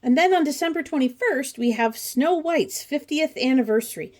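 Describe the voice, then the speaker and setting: A woman's voice: high voice, animated, normal speed, a little mellow. Chatty woman with wry asides. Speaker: craft channel host. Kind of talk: casual commentary on a pattern book flip-through.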